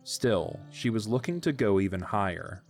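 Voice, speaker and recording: A man narrating an audio drama in a measured storytelling delivery. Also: fairly low pitch, expressive, faint music behind.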